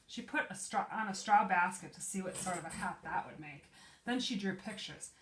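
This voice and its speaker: A woman's voice: on the low side, expressive, moderate pace, slight background noise. A woman preschool teacher reading a picture book aloud to young children, explaining simply and asking them questions. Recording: echoey room.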